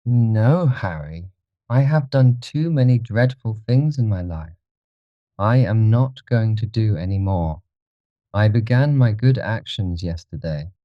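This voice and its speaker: A man voicing an anxious, imperious young gentleman with an intense manner, for an audiobook. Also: on the low side, animated, a little slow, no room sound, dull audio, voice pushed up. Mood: earnest. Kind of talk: audiobook character voice.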